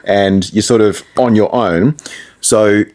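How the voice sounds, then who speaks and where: A man's voice: fairly low pitch, takes it slowly, darkish tone. A man talking casually in an interview as a radio presenter, explaining things through anecdotes and asides.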